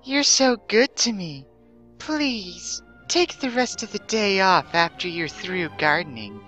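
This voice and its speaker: A woman's voice: mid-range voice, animated, slow, pushed and bright, soft background music. A woman playing an elegant, doting and easily embarrassed ghost lady, gushing and melodramatic, in a dramatic story reading. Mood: grateful, doting.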